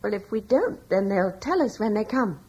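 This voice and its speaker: An actress playing a devout, gentle young wife in a radio drama, speaking in an earnest, tender and slightly hesitant way. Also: female voice, medium pitch, very animated, moderate pace, slightly rough, highs cut off. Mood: tender, hopeful. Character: devout, gentle young wife in ancient times, calmly reassuring.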